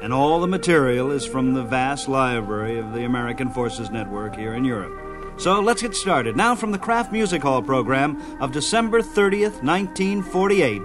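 A man's voice: medium pitch, animated, moderate pace, music in the background. A man presenting a radio program as host, in a scripted announcing style.

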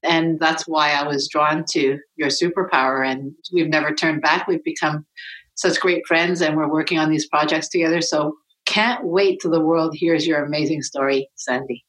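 A woman co-host in an interview, speaking warmly and enthusiastically about her guest. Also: deep voice, steady tone, normal speed, low-quality recording. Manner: enthusiastic, complimentary. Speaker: podcast co-host. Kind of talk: casual interview chat.

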